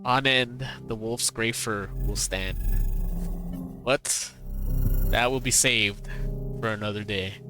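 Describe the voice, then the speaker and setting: A male streamer reading a visual novel's dialogue aloud, his voice tired and strained, halting and repeating words between laughs. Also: on the low side, fairly even intonation, over music. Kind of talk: reading game dialogue aloud, then casual chat.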